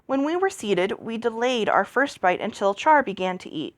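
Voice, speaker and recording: Female amateur audiobook reader, fantasy fiction. Animated, expressive delivery, very dry sound. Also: on the high side, moderate pace, no echo. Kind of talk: reading a fantasy novel aloud.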